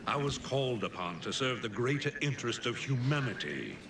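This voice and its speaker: An actor playing a pompous authoritarian emperor, addressing the press with stately oratory in a game cinematic. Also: male voice, mid-range voice, very animated, a little fast. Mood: smooth, stately. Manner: stately, imperious politician's oratory. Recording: echoey, distant, loud press crowd.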